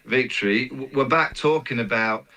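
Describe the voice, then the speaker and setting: A man discussing sport conversationally as a pundit, repeating words for emphasis in a halting way. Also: medium pitch, bright, forceful tone, rough recording. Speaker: cricket pundit.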